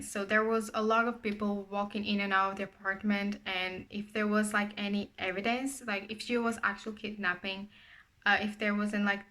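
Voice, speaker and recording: A woman's voice: medium pitch, sharp tone. A young woman from Portugal with a Portuguese accent, English not her first language, casually recounting a true crime case with frequent fillers. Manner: conversational, frequent fillers and restarts.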